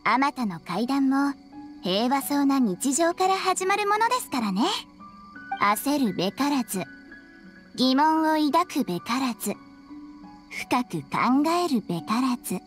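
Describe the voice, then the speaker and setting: Eerie, high-voiced shrine maiden. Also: female voice, very animated, a little slow, faint music behind. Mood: mysterious, welcoming. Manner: polite, mysterious, theatrically foreboding. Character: eerie, cryptic young shrine maiden, playfully ominous. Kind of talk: Japanese video game voice acting.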